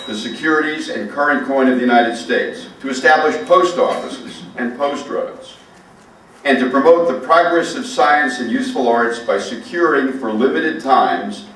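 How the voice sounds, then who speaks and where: A man's voice: mid-range voice, fairly bright tone. Very echoey man reading formally aloud. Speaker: guest reader. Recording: very echoey, distant, faint noise.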